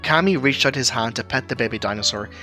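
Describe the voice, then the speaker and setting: Male storyteller for an audio drama, mid-range, bright forward tone, music underneath. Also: mid-range voice, quick pace. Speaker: narrator. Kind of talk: scripted story narration.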